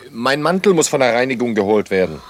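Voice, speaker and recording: A man's voice: medium pitch, very animated, quick pace, close to an everyday voice. An actor playing a wry, teasing man who acts out the part of husband and father in an intimate radio drama. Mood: grumbling, teasing.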